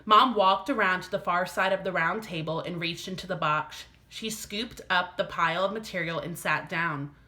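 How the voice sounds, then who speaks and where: A woman teacher narrating a children's storybook aloud with careful, expressive phrasing. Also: fairly low pitch, normal speed, hardly any pauses. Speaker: elementary teacher reading aloud.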